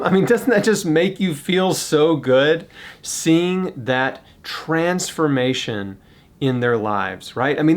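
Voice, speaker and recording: A man presenting a charity appeal in a casual, earnest way, talking straight to the viewer with rhetorical questions. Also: a little high. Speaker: fundraiser and presenter.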